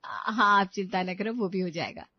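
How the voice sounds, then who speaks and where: A woman's voice: mid-range voice, moderate pace, no echo, highs cut off, natural voice. A woman voicing a kindly, coaxing middle-aged wife and mother in a moral story. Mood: cheerful.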